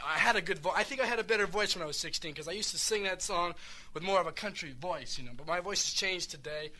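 High, bright-voiced rambling street singer man. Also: fast. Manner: rambling, earnest, many restarts. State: a little hoarse. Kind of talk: rambling spoken song introductions.

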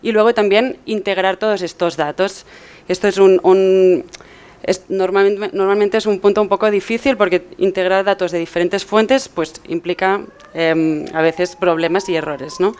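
A woman's voice: medium pitch, steady tone, normal speed, a little mellow, clear, resonant tone. A young woman from gives an explanatory technical presentation, somewhat hesitantly, often checking with tag questions. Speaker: conference speaker. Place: medium-sized indoor event room with seated audience.

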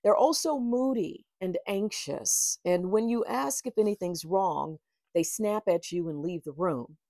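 Lower-pitched middle-aged woman, measured delivery. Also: a little mellow, dry recording, studio quality. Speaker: author and tarot reader. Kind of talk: reading aloud from own book with casual asides. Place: small book-lined room indoors.

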